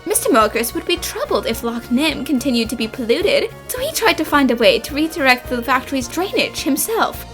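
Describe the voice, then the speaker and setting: A woman playing a confident young female detective in an animated fan dub, explaining a case with theatrical assurance. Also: sits high, brisk, few pauses, soft background music.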